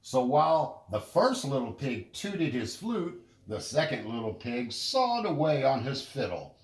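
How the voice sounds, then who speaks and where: A man narrating a children's story in an expressive, deliberate storytelling manner. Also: mid-range voice, roomy sound. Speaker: storybook reader.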